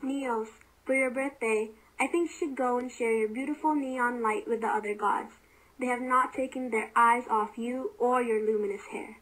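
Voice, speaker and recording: A woman's voice: fairly high pitch, fairly even intonation, extremely slow, a little mellow, low-quality recording. A woman voicing a commanding yet doting father god in an amateur mythology cartoon. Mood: hushed, proud.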